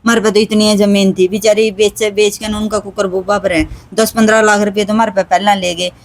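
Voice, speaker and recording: A woman's voice: medium pitch, little pitch movement, normal speed. A woman with a Haryanvi accent giving unscripted interview testimony in an emphatic, rustic way, repeating her phrases. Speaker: aggrieved relative being interviewed.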